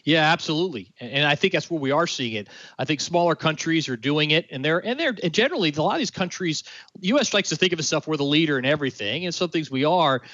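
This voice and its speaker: A man's voice: fairly high pitch, expressive, fast talker, a bit bright, no echo. A man explaining a technical idea in conversation, earnest, with many fillers and restarts. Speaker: guest expert. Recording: dry, dull lo-fi sound.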